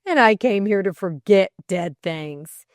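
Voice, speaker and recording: A woman voicing an imperious, teasing gentleman, master of the house, in a declamatory audiobook style. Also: medium pitch, expressive, unhurried, no echo, clean studio sound, close to an everyday voice. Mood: indignant. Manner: pompous, declamatory, bantering.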